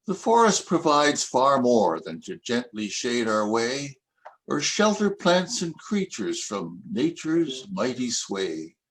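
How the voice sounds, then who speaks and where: An older man in his seventies reading his own poems aloud, with measured, expressive recitation. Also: mid-range voice, rough recording. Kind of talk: poetry reading at an event.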